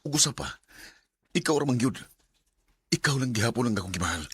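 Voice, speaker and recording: A man's voice: mid-range voice, lively intonation, fairly quick, husky. A man playing an insistent, earnest young lover in a Bisaya radio drama, with a Cebuano Filipino accent. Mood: pleading. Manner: earnest, pressing. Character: Vince, insistent young male lover with secret affair.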